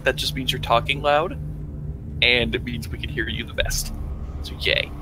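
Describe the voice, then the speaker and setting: A man's voice: mid-range voice, steady tone, moderate pace, noisy background. A man talking casually as a guest in a game stream's voice chat.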